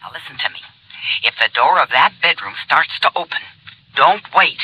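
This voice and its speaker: A woman playing a gangster's wife giving urgent, hushed warnings by phone in a 1950s radio drama. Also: sits low, animated, normal speed, husky. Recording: muffled old radio, phone voice.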